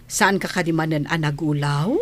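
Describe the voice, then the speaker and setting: A woman's voice: low-pitched, moderate pace. A woman with a Filipino accent playing a caring, affectionate nanny in a radio drama, fond and nurturing in manner.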